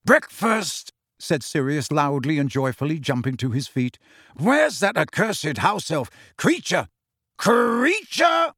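Storybook godfather: boisterous, hearty man, steady and firm, read by the male narrator, dry close sound. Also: medium pitch, moderate pace, few pauses, dry recording, close to an everyday voice. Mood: joyful.

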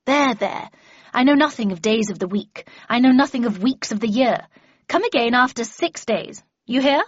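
A woman voicing a stern, sharp-tongued, commanding older woman in an audiobook, brusque and brooking no argument. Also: lively intonation, normal speed, no room sound, dull audio. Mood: impatient, commanding. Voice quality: low growl at times. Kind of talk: audiobook character voice.

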